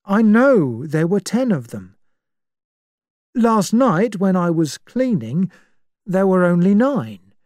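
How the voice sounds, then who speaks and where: A woman's voice: mid-range voice, very animated, takes it slowly, long pauses, darkish tone, dry recording, clean studio sound, voice pushed up. A woman voicing a shaken, agitated male butler who pleads to be believed.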